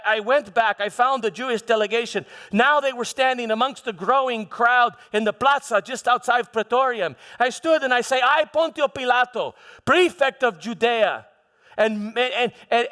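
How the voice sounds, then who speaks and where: A man performing a live theatrical monologue as a proud, troubled Roman governor, halting and repeating his words with defensive frustration. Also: very high voice, full character voice. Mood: exasperated, official. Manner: halting, repeats words, swings from hushed to shouting. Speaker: preacher performing a dramatized monologue. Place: large church hall, live performance. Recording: echoey hall with long reverb.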